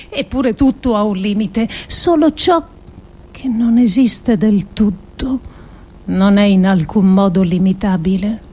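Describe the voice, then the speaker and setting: Female actor, higher-pitched voice, slow and emphatic theatrical radio monologue with weighted pauses. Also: a little mellow. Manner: deliberate, emphatic delivery with dramatic pauses. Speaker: actress reading adapted essay.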